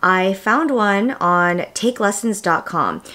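A woman's voice: mid-range voice, lively intonation, slow, sharp tone. Chatty young woman vlogging at home. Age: 20s. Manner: chatty, upbeat, lots of "like" fillers. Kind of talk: casual vlog talk to camera. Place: small home studio room indoors.

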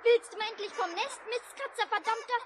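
A female performer playing a bossy, tattling, sharp-tongued little girl in a 1950s radio play. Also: very high-pitched, fairly quick. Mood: scolding. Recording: echoey, noisy mono 1950s radio recording.

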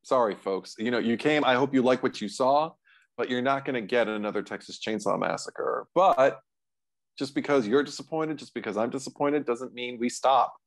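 A man's voice: mid-range voice, normal speed. Middle-aged man chatting casually about films. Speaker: podcast co-host discussing horror films. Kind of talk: casual film discussion. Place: small room at home, on a video call.

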